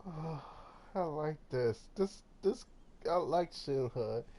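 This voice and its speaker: A man streaming a video game, commenting casually in an excitable, halting way that repeats words. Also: a little high, a little hiss or hum.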